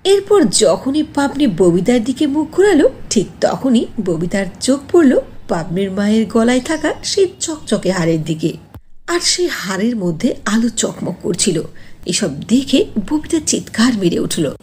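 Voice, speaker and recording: A woman narrating a scripted cartoon fairy tale in an animated, expressive way. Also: a little high, unhurried, slight background noise.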